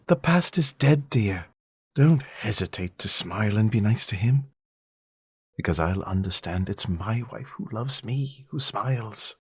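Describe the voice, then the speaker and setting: A man plays a complacent, well-bred husband in a literary radio drama. The voice is husky and very lively in pitch. He speaks politely and condescendingly, quick to take affront. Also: no echo, studio quality, heavily acted. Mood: reassuring. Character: genteel, complacent gentleman husband, easily affronted.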